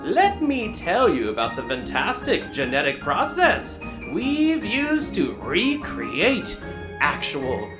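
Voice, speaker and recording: An actor playing an older, grandiose park founder, presenting a tour video with dramatic, showmanlike storytelling in an audio drama. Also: male voice, high-pitched, wildly swooping pitch, takes it slowly, reverberant, music in the background, heavily acted. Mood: grand welcome. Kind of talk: theatrical scripted presentation.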